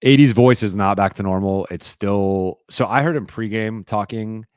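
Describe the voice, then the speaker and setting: A man hosting a live-stream Q&A, talking casually and rambling with lots of fillers and restarts, his voice a little raspy. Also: fairly low pitch, moderate pace, darkish tone, dry recording, clean studio sound. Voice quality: slightly raspy voice.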